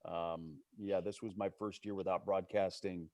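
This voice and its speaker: A man with a low voice talks casually as a guest on a video call, like a sportscaster off the air. The sound is close and dry, with very little room. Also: little pitch movement, normal speed, mellow, no room sound. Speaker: baseball play-by-play broadcaster as guest. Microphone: video call microphone.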